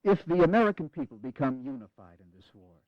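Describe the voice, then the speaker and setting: Male actor as resolute Revolutionary War general, measured, formal, courteous, audio drama, dry close sound, dull old recording. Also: on the high side, lively intonation, dry recording, natural voice. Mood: grave, resolute. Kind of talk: dramatized history audio acting.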